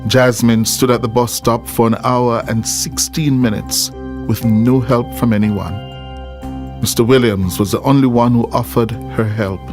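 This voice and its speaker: A man's voice: mid-range voice, fairly even intonation, measured pace. A man reading scripted documentary narration as a reporter. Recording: music bed under the voice. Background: background music.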